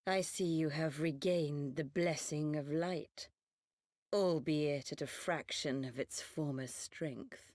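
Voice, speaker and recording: An actress playing a poised, condescending dark sorceress in a fantasy video game, with a measured, archaic and quietly menacing manner. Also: female voice, low-pitched, dry recording, studio quality. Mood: cool, assured. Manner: measured, archaic, knowing and superior.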